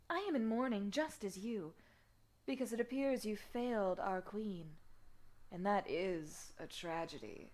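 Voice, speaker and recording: A woman's voice: mid-range voice, a bit bright. A woman voicing a deranged, sadistic female assassin devoted to her queen in an animation fandub, with a menacing, sing-song delivery. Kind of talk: dramatic animation fandub acting.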